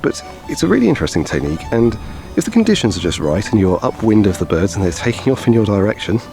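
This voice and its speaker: Deep gravelly man vlogging outdoors. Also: sing-song, fast, a little mellow, soft background music. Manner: explanatory, talks with his hands. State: tired. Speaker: wildlife photographer. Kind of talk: outdoor vlog tutorial. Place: outdoors on a grassy path by wetland. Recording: outdoor noise behind the voice. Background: chainsaws and traffic in the distance.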